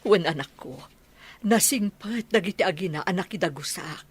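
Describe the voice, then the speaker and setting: A woman's voice: fairly low pitch, animated, normal speed, husky. A woman with a Filipino Ilocano accent playing a wronged, emotional older mother in a radio drama. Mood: relieved. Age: middle-aged.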